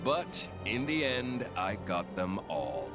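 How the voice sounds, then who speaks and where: Sneering game villain, slow n drawn out, pitch all over, loud music behind. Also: male voice, sing-song, full character voice. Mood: mocking. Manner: taunting, sneering, theatrical. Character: gloating, cruel male villain, mocking and theatrical. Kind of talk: video game cutscene voice acting.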